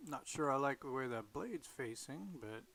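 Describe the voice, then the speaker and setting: A man's voice: mid-range voice, animated, normal speed, creaky, fairly bright tone, slight background noise. A man talking to himself as he plays a game, musing in half-whispered asides while losing his voice. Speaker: gaming video host. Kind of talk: casual solo gameplay commentary.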